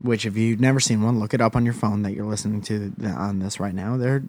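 A man's voice: fairly low pitch, steady tone, fast, darkish tone. A man co-hosting a podcast, chatting casually in a playful, rambling way and swearing now and then. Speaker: podcast co-host. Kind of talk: casual banter.

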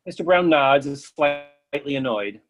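A man with a husky voice reading stage directions as scripted narration for a play. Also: fairly high pitch, expressive, measured pace, soft background music.

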